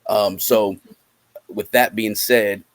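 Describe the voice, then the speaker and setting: A man's voice: lowish voice, fairly even intonation, slow. A man giving interview answers as a guest, repeating words as he goes and tagging phrases with "right?". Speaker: guest musician promoting a film project.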